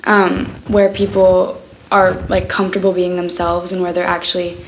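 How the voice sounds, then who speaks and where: A woman's voice: on the low side, flat delivery, moderate pace, a little hiss or hum, rough recording. A young woman giving a thoughtful, casual answer in an interview. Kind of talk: personal interview testimony.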